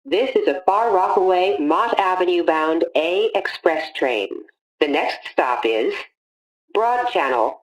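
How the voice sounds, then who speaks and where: Woman, slightly low voice, slow pace, scripted transit announcement, formal, measured phrasing. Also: animated, rough recording. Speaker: subway train announcer.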